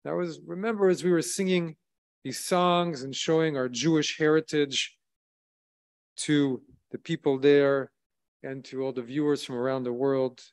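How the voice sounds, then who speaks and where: Man preaching a measured solemn sermon. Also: fairly high pitch, fairly even intonation. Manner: measured, solemn preaching with rising emphasis on key phrases. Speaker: rabbi. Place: synagogue sanctuary at a wooden lectern, indoors. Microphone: lectern microphone, streamed by video.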